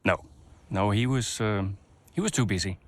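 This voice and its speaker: An actor playing a calm, seasoned male race team mentor, talking in a measured, reflective way in a game cutscene interview. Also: on the low side, natural voice. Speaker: new team principal.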